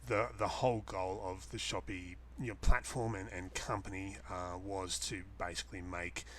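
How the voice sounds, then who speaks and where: A man with an Australian accent giving a casual, unscripted explanation to a webcam in a halting, rambling way, repeating phrases for emphasis. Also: low voice, steady tone, a little hiss or hum. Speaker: host answering a viewer's question, online seller. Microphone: webcam setup.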